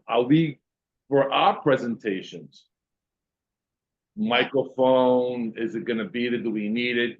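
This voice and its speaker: A man asking questions in an online meeting, with a loud voice and many fillers and pauses. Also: medium pitch, lo-fi sound. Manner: loud voice, talks with hesitations and fillers. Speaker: workshop participant.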